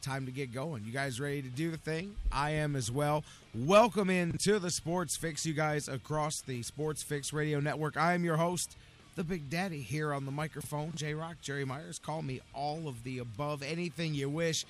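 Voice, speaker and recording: A man with a gravelly, sleep-short voice hosting a sports talk radio show, energetic and rambling with catchphrases. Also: on the high side, moderate pace.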